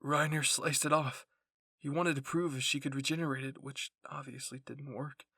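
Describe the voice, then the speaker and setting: A man's voice: mid-range voice, steady tone, normal speed, bright, forceful tone, husky, no echo, clean studio sound. A man voicing an intense, blunt and protective teenage boy soldier in an anime fan fiction roleplay. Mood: earnest.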